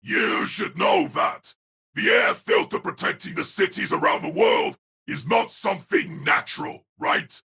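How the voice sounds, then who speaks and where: A man playing a brusque, commanding young fighter in an audio drama, with a raspy, gravelly, urgent voice. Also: high voice, unhurried, full character voice. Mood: stern warning. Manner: forceful, urgent, barking. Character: brusque, commanding young male fighter, wounded but composed.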